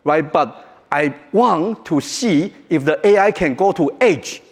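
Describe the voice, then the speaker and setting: A man's voice: fairly high pitch, animated. Taiwanese-accented man in a reverberant hall. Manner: joking, halting, non-native phrasing. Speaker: keynote speaker. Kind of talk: conference keynote talk. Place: large conference hall.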